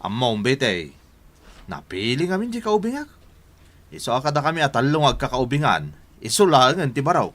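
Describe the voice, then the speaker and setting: A man's voice: medium pitch, sing-song, moderate pace, long pauses, a bit bright. A man acting an amused, teasing older brother playing matchmaker in a radio drama.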